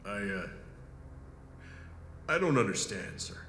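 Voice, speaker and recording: An actor playing a dutiful, loyal security chief in a sci-fi audio drama, formal, deferential and hesitant. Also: male voice, fairly low pitch, very animated, takes it slowly, a bit bright, full character voice. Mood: uncertain. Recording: echoey hall, faint background noise.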